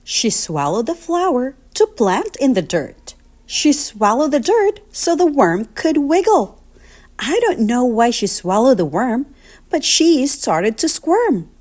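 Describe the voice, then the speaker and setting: A woman reading a children's picture book aloud in an expressive, deliberate, sing-song storytelling manner. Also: sits high.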